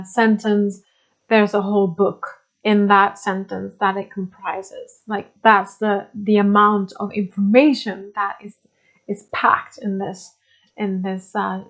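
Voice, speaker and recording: A woman whose first language is Swedish, explaining spiritual ideas in English with many pauses, fillers and restarts. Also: medium pitch. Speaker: spiritual teacher. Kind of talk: unscripted explanatory talk.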